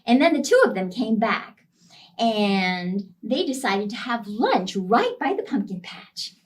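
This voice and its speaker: A woman, a children's librarian, telling a story interactively in an expressive, playful manner. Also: mid-range voice, unhurried, recorded from a distance.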